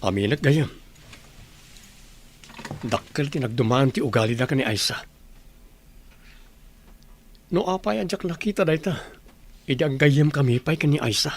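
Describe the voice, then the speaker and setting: Man acting a grumbling, mid-pitched village husband. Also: animated, moderate pace, pauses often, slight background noise, lightly acted. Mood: rueful. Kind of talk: Ilocano radio comedy-drama acting.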